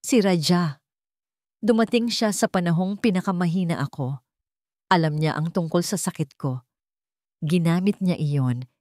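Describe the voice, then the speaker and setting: A woman's voice: lowish voice, normal speed, long pauses, a little mellow, no echo, clean studio sound, natural voice. A woman voicing a dying wife, desperate and remorseful, confessing and pleading in a dramatic story. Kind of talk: dramatic story character reading.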